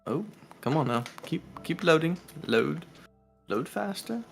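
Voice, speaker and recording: A man of middling pitch reads a story game aloud with a very animated melody. His voice has a creaky edge and his style is casual and playful. Faint music sits underneath. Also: unhurried. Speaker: co-streamer reading a visual novel aloud. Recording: music behind the voice.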